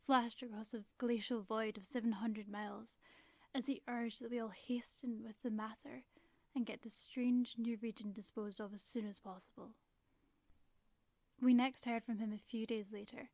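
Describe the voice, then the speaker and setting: A woman playing a sombre professor who narrates a doomed expedition in a radio drama, measured and foreboding. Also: fairly high pitch, darkish tone, no echo, close to an everyday voice.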